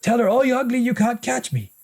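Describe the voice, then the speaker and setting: A man's voice: extremely high pitch, a little fast, vocal fry, a little mellow, pitched above the performer's own voice, full character voice. A man voicing a bold, bossy boy who is the older brother in a storybook tale. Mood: urgent, goading.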